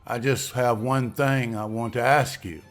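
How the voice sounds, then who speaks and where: A man's voice: medium pitch, fairly even intonation, measured pace, soft background music, close to an everyday voice. A man reading the part of William, a hopeful, respectful husband who has just landed a waiter's job, in a table read. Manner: earnest, respectful, says ma'am.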